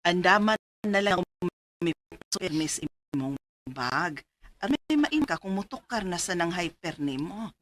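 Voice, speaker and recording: Fussy Cebuano mom in radio drama: bright voice, lively swooping intonation, caring but naggy. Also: female voice, fairly low pitch, unhurried. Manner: nagging, motherly, expressive. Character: fussy, caring, scolding mother of grown son. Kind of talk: Filipino radio drama acting.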